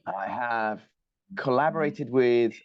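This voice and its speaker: A man from Colombia, giving a measured, polite academic lecture over a video call. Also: mid-range voice, no echo. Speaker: guest lecturer, structural engineer. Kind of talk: online lecture presentation. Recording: dull, dry video call audio.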